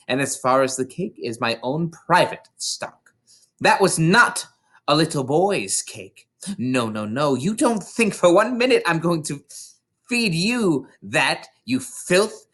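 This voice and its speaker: A man voicing a furious, tyrannical middle-aged headmistress in a storybook reading, barking and shouting insults with drawn-out contempt. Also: fairly high pitch, voice pushed up, full character voice.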